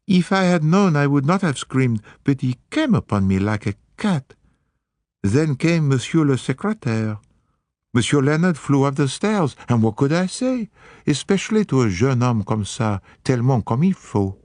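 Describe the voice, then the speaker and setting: A man's voice: medium pitch, big pitch swings, normal speed, a little mellow, dry recording, studio quality, pitched above the performer's own voice, close to an everyday voice. A man voicing a pert young French maid with a French accent, chatty and coquettish. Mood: coy.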